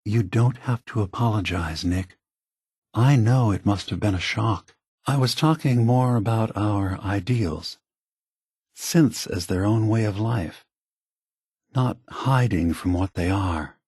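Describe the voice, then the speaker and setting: An actor playing a calm, weary, philosophical android leader in a video game, speaking in a measured, gentle way with a husky voice. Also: male voice, lowish voice, dry recording, studio quality. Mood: gentle, warm. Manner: measured, deliberate, gentle, reflective.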